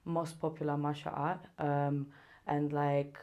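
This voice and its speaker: A young woman from Poland speaks English with a Polish accent and a notably low voice. She talks in a laid-back, unhurried way. She laughs easily and lets her sentences trail off. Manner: relaxed, laughs often, trails off mid-sentence. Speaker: Muay Thai fighter, guest. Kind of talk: casual interview chat. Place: studio.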